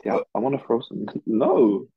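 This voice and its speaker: A man's voice: medium pitch, huge pitch swings, normal speed, vocal fry, husky, no room sound, narrow, tinny audio. A British man, a podcast guest, chatting casually in a playful, teasing way and using lots of slang. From United Kingdom. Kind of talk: casual chat.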